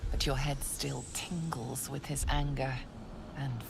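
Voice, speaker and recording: A woman performing as the ominous, measured narrator of a dark fantasy game, with low, creaky delivery. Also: fairly even intonation, background noise, full character voice. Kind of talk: dramatic scripted narration.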